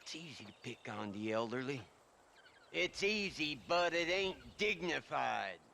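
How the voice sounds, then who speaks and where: Creaky, cantankerous old cowboy uncle. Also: male voice, medium pitch, lively intonation, a little slow, bright, forceful tone, a little hiss or hum, heavily acted. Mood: grumbling, wheedling. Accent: American. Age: older. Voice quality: creaky, gravelly. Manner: folksy rural drawl, grumbling. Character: lazy, cantankerous old ranch hand uncle, whiny and wily. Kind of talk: western video game voice acting.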